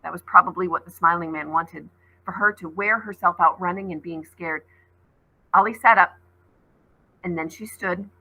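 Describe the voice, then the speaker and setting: A woman teacher reading a novel aloud to her class, in an expressive storytelling manner. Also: moderate pace, pauses often, rough recording. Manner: expressive read-aloud, voices rising high on called names.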